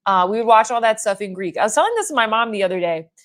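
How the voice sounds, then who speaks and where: A woman's voice: on the high side, lively intonation, racing, a bit bright. A woman in her thirties hosting a beauty livestream at home. She chats casually, reads out viewer comments and rambles with lots of "like" fillers. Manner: chatty and rambling, with frequent "like" fillers. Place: at home doing makeup in front of a mirror.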